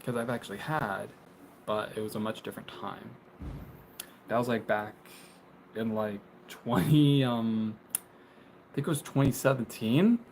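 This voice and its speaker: A young man in his twenties talks loosely to his viewers, stumbling through fillers and restarts and laughing now and then. His voice sits at an ordinary middle pitch. A faint background hum is in the room with him as his phone on a tripod picks him up from inside a small closet. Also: a little slow, gravelly, pauses often, a little mellow. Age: 20s. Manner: rambling, many fillers and restarts, laughs often. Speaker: live streamer. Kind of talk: casual live stream chat, reading viewer comments. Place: small bedroom closet, indoors.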